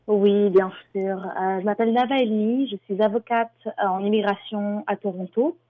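A woman's voice: medium pitch, steady tone, normal speed. A woman from France, an immigration lawyer, explaining things as a radio guest with many hesitations. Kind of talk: interview answers. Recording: tinny phone line.

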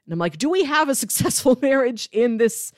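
A woman's voice: a little high, expressive, a little fast, darkish tone, no echo, studio quality. A woman co-hosting a film podcast, talking casually and thoughtfully, often peppering her sentences with "like". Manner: thoughtful, conversational, frequent "like" filler.

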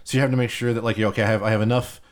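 A man's voice: on the low side, steady tone, very fast. A man co-hosting a podcast, chatting casually about games, rambling with many restarts and fillers. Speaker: podcast co-host. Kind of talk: casual chat.

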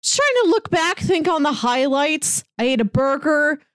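A woman's voice: high-pitched, very animated, moderate pace, dry recording, studio quality. A woman in her thirties chatting casually as a podcast co-host, with a wry, rambling way of explaining things. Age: 30s. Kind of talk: casual pop culture chat.

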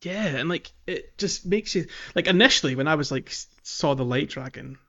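A man hosting a casual podcast about a game, talking in a halting, thoughtful way. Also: on the high side, expressive, a bit bright. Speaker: podcast host. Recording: slightly dull, muffled audio.

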